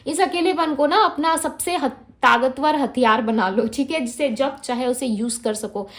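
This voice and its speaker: A woman giving a tarot reading to her viewers, mixing Hindi with English and speaking in a gentle, reassuring way to the audience, often tagging her sentences with "ठीक है". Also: high voice, moderate pace. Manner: Hindi mixed with English, frequent "ठीक है" fillers. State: throat feels heavy. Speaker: tarot reader.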